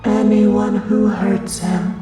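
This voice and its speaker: Woman doing a very slow, obsessive inner voice. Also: mid-range voice, level tone, over music, close to an everyday voice, rough recording. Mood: obsessive, menacing. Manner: chanting, insistent short phrases. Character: obsessive inner voice of female mafia boss, coldly protective.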